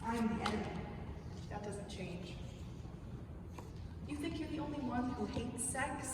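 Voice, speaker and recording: A woman's voice: on the high side, expressive, measured pace. A woman playing a fragile, accusing, pill-addicted young wife in a live dramatic stage reading. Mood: confessing, pained. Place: large reverberant museum hall. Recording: echoey hall from far off, noisy.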